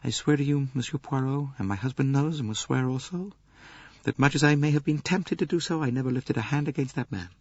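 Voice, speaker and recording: A man's voice: mid-range voice, a little fast, no echo, lo-fi sound, voice pushed up, close to an everyday voice. A man voicing an earnest, passionate young American countess in an audiobook, with a composed, incisive tone. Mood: solemn. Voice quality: rich, clear-cut, incisive tone. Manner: earnest, quietly emotional.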